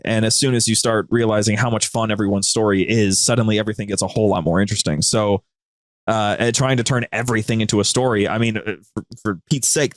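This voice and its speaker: A man's voice: on the low side, dry recording. A man talking casually as a podcast guest, explaining things in an enthusiastic storytelling way. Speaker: podcast guest and co-host of a media group's show. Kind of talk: casual interview talk.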